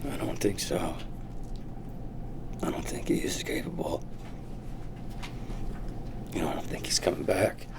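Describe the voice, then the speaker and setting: A man's voice: low voice, steady tone, measured pace, vocal fry, pauses often, noisy background. A man acting the part of an exhausted, guilt-ridden young man, subdued and hesitant, in a drama table read. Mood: quietly accusing. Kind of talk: acted script at a table read.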